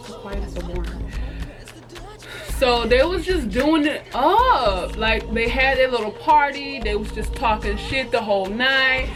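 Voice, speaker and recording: A woman with a fairly high voice tells a casual story to her viewers. She is sassy and slangy, stretching words for emphasis and slipping into mimicry. Music plays clearly behind her. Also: lively intonation, a little slow. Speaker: storytime vlogger. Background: background music.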